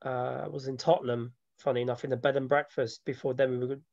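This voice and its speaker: A dry, slightly muffled recording of a man. He talks about himself informally, as an interview guest. His delivery is reflective and halting, at a middling pitch. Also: level tone, vocal fry, darkish tone, dry recording. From born in Slovakia, grew up from age seven in London. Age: 30s. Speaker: interviewee, film maker and photographer. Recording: dull lo-fi audio, dry.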